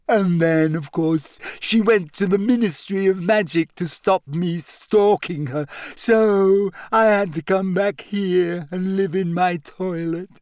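A man voicing a glum, touchy ghost girl in an audiobook, mournful and self-pitying, turning shrill when upset. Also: takes it slowly, few pauses, darkish tone, dry recording. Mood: self-pitying, aggrieved. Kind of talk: audiobook character voice.

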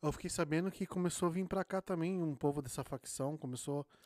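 A Brazilian woman with a low voice asks quick, curious questions in a relaxed chat. The sound is dry and close. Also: dry recording. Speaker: podcast co-host. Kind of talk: casual podcast chat.

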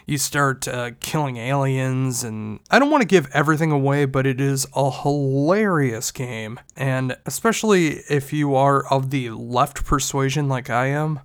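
A man's voice: medium pitch, a little slow, few pauses, smooth, no room sound, clean studio sound. A man hosting a podcast, talking casually and rambling a little, with a stuffed-up, congested nose. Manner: rambling, conversational, with restarts. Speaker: podcast host. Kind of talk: casual solo podcast talk.

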